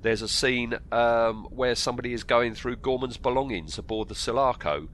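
A man's voice: mid-range voice, moderate pace, fairly bright tone. Man, bunged up with a cold, podcast host, casual film trivia, hesitant and rambling, noise behind the voice. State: has a cold. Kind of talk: casual film discussion reading out actor trivia.

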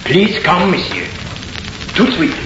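An actor playing an eager, fawning French curiosity shopkeeper with a French accent and coaxing, halting English, in 1930s radio drama style. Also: male voice, sits high, sing-song, heavy background noise. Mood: excited, urging. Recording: muffled, echoey, old radio recording.

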